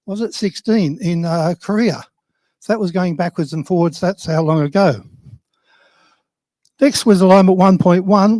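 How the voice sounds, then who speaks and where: An older Australian man speaks in a high-set voice with a soft, mellow tone. The sound is dry and close, with very little room in it. He presents informally to a conference, plain-spoken and wryly self-deprecating. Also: no room sound.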